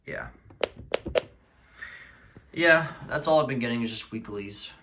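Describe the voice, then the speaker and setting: A man talking casually in a halting, rambling way as he presents his collection in a vlog. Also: mid-range voice, expressive, noisy background. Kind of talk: casual show-and-tell vlog.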